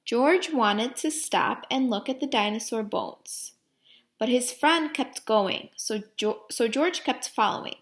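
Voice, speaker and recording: Slow, high-voiced woman reading to children. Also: expressive. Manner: simple, deliberate phrasing with questions to young listeners. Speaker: teacher reading aloud to students. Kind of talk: interactive children's storybook read-aloud.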